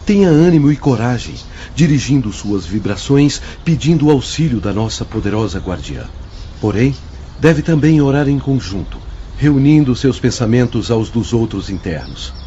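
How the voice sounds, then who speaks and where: A man playing a kindly, mellow-voiced religious brother. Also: mid-range voice, animated, close to an everyday voice. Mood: encouraging. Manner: soothing, measured, exhorting. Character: kindly male religious brother, patient encouraging counselor. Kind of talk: radio drama acting. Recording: muffled lo-fi audio with faint noise.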